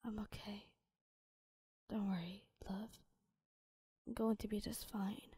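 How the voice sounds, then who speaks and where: Woman as a wounded girlfriend, soft reassuring whisper, Arabic-tinged accent, mellow and slow. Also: medium pitch, level tone, breathy edge. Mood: tender. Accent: Arabic-tinged English. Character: hurt young woman, gentle lover.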